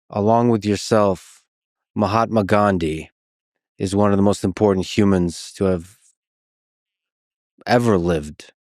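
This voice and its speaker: Brief: male podcast host, lower voice, deliberate questioning, close dry mic. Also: darkish tone, no echo. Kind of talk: interview questions.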